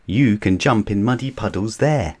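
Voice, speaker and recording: A man voicing a calm mother pig in a storybook, patient and gently firm, a little above his normal pitch. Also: moderate pace, close to an everyday voice.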